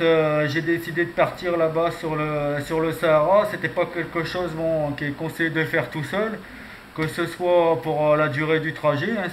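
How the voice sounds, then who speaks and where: A man making casual radio contact, chatty and hesitant, as an amateur operator handing the microphone back and forth. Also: on the high side. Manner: hesitant, chatty, tags phrases with "hein". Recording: echoey room, some background noise.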